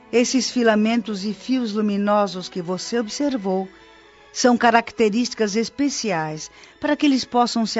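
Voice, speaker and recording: A woman's voice: mid-range voice, lightly acted. A Brazilian woman acting a kindly, knowing old nurse of the spirit world in a radio drama, reassuring and explanatory. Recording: muffled audio with music behind. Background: background music.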